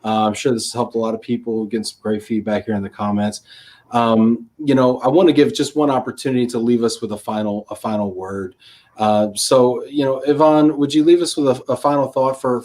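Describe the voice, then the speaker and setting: A man hosts a live online book panel, reading out viewer questions in a mid-pitched voice. He trips over words and chuckles at himself. He is heard through a video-call setup. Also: brisk, darkish tone. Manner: stumbling, self-deprecating, laughs at himself. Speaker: host.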